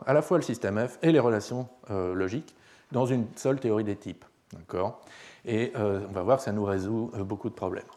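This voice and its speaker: A man teaching a university class, his voice slightly hoarse. He talks hesitantly, filling gaps and restarting phrases, and keeps checking that the room follows him. His pitch sits in an ordinary middle range. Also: a little mellow. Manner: hesitant, many fillers and restarts, checks understanding. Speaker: lecturer. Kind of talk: university lecture.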